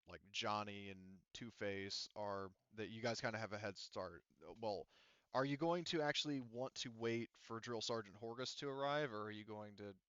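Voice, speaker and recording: Low-voiced man, dry dull recording. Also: fairly bright tone, smooth, no room sound. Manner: rambling, often losing his thread. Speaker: game master. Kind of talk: narrating an online tabletop game. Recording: dull, muffled audio.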